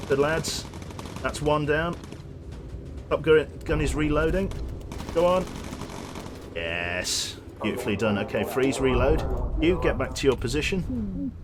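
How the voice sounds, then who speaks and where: A man giving gameplay commentary as a streamer, excitable and casually swearing, his voice going a little hoarse. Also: medium pitch, noisy background. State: losing his voice.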